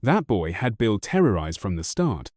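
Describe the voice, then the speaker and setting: A man narrating an audiobook story in a wry, expressive first-person manner, recorded close on a studio mic. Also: on the low side, moderate pace, dry recording.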